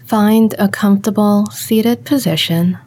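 Slow, mellow woman guiding meditation. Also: mid-range voice, slight background noise. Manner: calm, unhurried, gently instructing with long pauses. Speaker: meditation guide. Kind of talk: guided body scan meditation.

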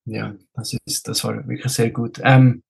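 A man's voice: mid-range voice, little pitch movement, unhurried, gravelly, darkish tone, husky. A man from Switzerland talking as a race director in a casual podcast interview, repeating words and dropping in the odd English one.

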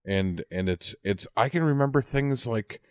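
A man in his forties from the United States, chatting casually on a podcast and telling anecdotes in a halting, word-repeating way. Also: mid-range voice, expressive, no echo, clean studio sound. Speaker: podcast co-host.

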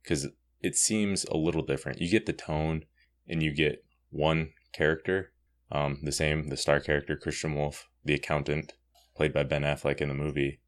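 A man's voice: extremely low pitch, little pitch movement, normal speed. A man hosting a podcast, talking casually through a comic book review. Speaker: podcast host.

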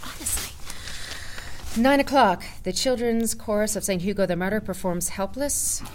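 A woman's voice: medium pitch, moderate pace, slight background noise, close to an everyday voice, low-quality recording. An actress playing a prim, no-nonsense female event organizer in a satirical audio drama, curt and disapproving.

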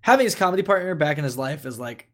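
A man with a slightly high voice chats as a podcast co-host. His delivery is informal and emphatic, and he swears casually as he makes his points. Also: animated, quick pace.

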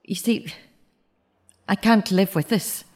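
An actress playing a fragile, grieving middle-aged mother in a naturalistic audio drama, speaking in a halting, confessional way. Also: mid-range voice, a little mellow. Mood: grieving, restrained. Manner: halting, self-correcting, confessional. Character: grieving mother of a murdered teenage son, fragile.

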